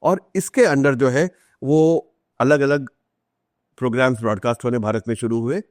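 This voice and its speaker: A man's voice: medium pitch, fairly even intonation, moderate pace, no echo, studio quality. A man with an Indian accent telling stories conversationally as a podcast guest, explaining things and mixing Hindi with English. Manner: explanatory, acts out quoted remarks; switches between Hindi and English. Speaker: author, interview guest.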